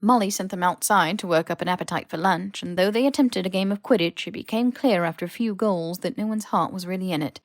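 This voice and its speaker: A woman's voice: medium pitch, quick pace, few pauses, no room sound, studio quality. A woman reading scripted fan fiction as an audiobook narrator. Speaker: podfic narrator.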